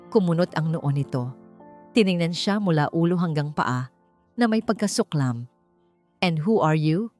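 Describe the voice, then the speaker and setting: A woman narrating a scripted audiobook love story in a measured, expressive storytelling manner. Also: low-pitched.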